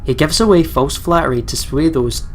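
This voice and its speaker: A man's voice: a little high, normal speed. A man doing let's play commentary and reading a game's text aloud in a chatty, reactive way. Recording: music behind the voice. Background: game music.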